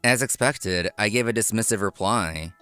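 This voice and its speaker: Low-voiced man narrating a manga story. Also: moderate pace, sharp tone, smooth, faint music behind. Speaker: narrator and lead character. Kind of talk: scripted first-person manga narration.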